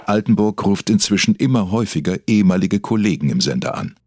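A man with a husky voice reading scripted documentary narration in a formal, deadpan manner. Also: on the low side, fast, slight background noise.

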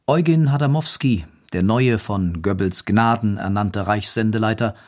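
A man narrating a scripted historical account in a measured, matter-of-fact way. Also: fairly low pitch, a little mellow. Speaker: documentary narrator.

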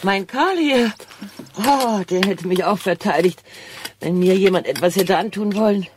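Radio crime drama: practical, reassuring widow actress, mid-range voice, chatty and matter-of-fact. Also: female voice, medium pitch, measured pace, background noise, natural voice. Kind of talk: German radio crime play.

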